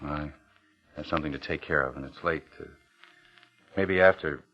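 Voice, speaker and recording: An actor playing a guarded, smooth-talking, hard-boiled man in an old noir radio drama. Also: a bass voice, moderate pace, sharp tone. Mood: guarded, coaxing. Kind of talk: 1950s radio drama acting with noir narration. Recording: dull old radio recording, music underneath.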